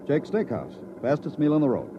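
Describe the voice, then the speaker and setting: Fast-talking brisk chief engineer. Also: male voice, on the high side, huge pitch swings, noisy background. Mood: confident. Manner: brisk, businesslike, authoritative. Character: brisk, confident veteran chief engineer of moving roadways. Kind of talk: old-time radio drama acting. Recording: dull vintage radio recording.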